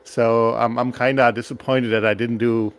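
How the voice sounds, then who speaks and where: Hesitant non-native man, flat steady voice. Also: medium pitch, normal speed, smooth. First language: not English. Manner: hesitant, searching for words. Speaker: guest driver. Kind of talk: post-race interview.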